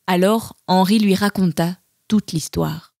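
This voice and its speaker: A woman's voice: fairly low pitch, a little slow, creaky, darkish tone, dry recording, clean studio sound. A woman narrating a children's storybook and hosting, with music behind her. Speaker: storybook narrator and channel host. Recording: music bed under the voice.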